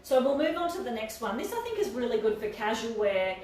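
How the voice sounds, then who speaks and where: Quiet woman in an echoey room. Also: fairly high pitch, big pitch swings, brisk, distant mic. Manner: chatty, hesitant, lots of fillers. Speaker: fashion boutique co-host. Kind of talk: casual live-stream chat. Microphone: no mic, far from laptop camera.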